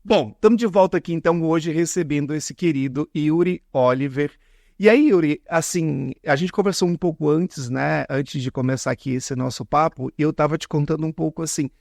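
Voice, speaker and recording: A man with a Brazilian accent hosts a conversational interview. His voice sits a little high for a man and is recorded very dry. He talks in a chatty, informal way and readily pays compliments. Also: vocal fry, darkish tone, no echo. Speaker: podcast host.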